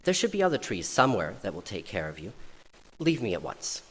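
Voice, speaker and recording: A man's voice: fairly low pitch, huge pitch swings, brisk, slightly rough, full character voice. A proud, aloof willow tree turns a stranger away in a prim, snobbish tone, voiced by a man reading a story. The voice rises lofty and firm, while a long hall echo lingers behind it. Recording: reverberant hall, faint noise.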